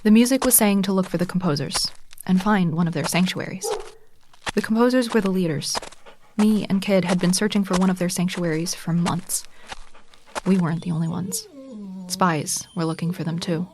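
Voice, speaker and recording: An actress playing a frightened young woman musician on the run, telling her story in a hushed, measured, tense way in an audio drama. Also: on the low side, fairly even intonation, a little hiss or hum, lightly acted. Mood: tense, grave. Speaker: narrator and lead character. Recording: music bed under voice.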